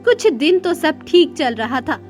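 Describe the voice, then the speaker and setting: Female narrator for a cartoon moral story: high-pitched, expressive storybook read, faint music underneath. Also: unhurried.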